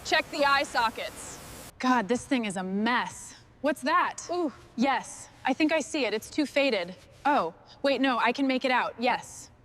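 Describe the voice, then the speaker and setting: A woman's voice: sits high, lively intonation, a little slow, a bit bright, slight background noise. A woman muttering to herself and giving brief instructions while doing restoration work, in short bursts of work talk. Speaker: machinery restorer.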